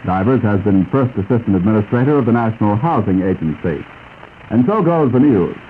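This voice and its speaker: A man's voice: on the low side, expressive, fairly quick, a little hiss or hum. A man with an American accent reading a scripted 1940s radio newscast. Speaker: radio news reporter. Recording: muffled 1940s acetate radio broadcast recording.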